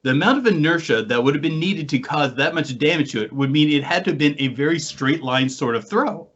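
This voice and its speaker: An American man hosting a podcast, telling anecdotes in a casual, animated storytelling way. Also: on the high side, brisk. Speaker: podcast host and paranormal investigator. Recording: dull, lo-fi online call audio.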